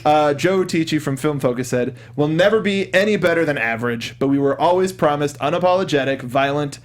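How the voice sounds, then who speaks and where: Young man, podcast host, slightly high voice, casual and sweary, studio desk mic. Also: fairly quick. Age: young adult. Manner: casual, profane. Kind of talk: casual panel movie discussion. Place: small indoor studio. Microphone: studio mic on desk, headset headphones.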